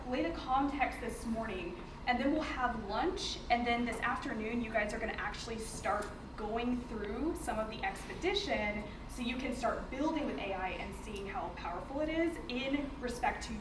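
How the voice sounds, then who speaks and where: A woman's voice: fairly high pitch, normal speed, hardly any pauses. A woman in her twenties giving an informal, chatty presentation to a group as a workshop facilitator. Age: 20s. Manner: chatty, informal, often laughing. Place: indoor room with a group, recorded off the mic. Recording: echoey room, distant mic, clear background noise.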